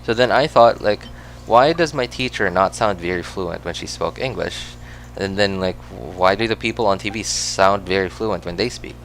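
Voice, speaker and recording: A man's voice: low voice, moderate pace, a bit bright, slight background noise, low-quality recording. A man with a Filipino accent, whose first language is Cebuano, chatting casually while he plays a game, rambling with lots of fillers and self-corrections. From Philippines. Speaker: gaming streamer.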